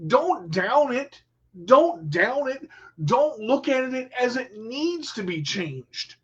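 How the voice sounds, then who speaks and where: A man's voice: very high-pitched, big pitch swings, takes it slowly, husky, highs cut off. A man hosting his own radio show, giving an emphatic motivational talk to listeners, repeating key phrases and checking in with "okay?". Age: adult with a son. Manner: emphatic, repetitive, talks straight to listeners. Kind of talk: motivational monologue.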